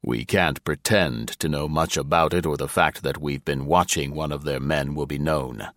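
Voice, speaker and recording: A man's voice: a bass voice, fairly even intonation, moderate pace, a bit bright, no echo, studio quality. A man voicing a blunt, impatient government chief who growls his orders, in a story reading. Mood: gruff. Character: gruff blustering male government chief.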